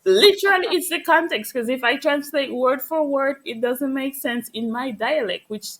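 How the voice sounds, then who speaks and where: A woman's voice: high-pitched, normal speed, a bit bright. A woman whose first language is Spanish, tutoring English in casual conversation and patiently correcting mistakes. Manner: friendly, explains patiently, laughs often.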